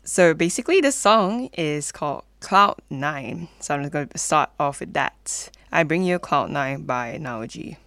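A young woman hosts a community radio music show in a casual presenting style. She is from Malaysia, and her voice is clean and smooth. The sound is very dry, with hardly any room around it. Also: low voice, lively intonation, measured pace, hardly any pauses, a bit bright, no room sound. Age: young adult.

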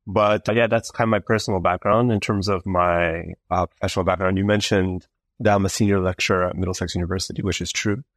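A man in his thirties, born in Canada, answering interview questions as a psychologist in a thoughtful, measured way with some fillers. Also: sits low, steady tone, no room sound, studio quality. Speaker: guest psychologist.

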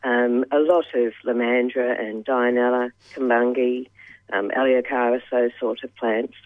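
A woman calling in to a radio show, talking in halting phrases with many "um"s. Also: rumbling low, steady tone, no room sound. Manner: halting, frequent "um"s. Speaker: caller. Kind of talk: phone-in radio chat. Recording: tinny phone line.

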